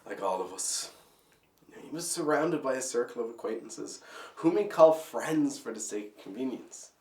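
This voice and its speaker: A man playing a bitter, anguished, disillusioned young man in a halting theatrical monologue, moving between brooding and outbursts. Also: mid-range voice, animated, a little mellow, close to an everyday voice. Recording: echoey room, distant microphone.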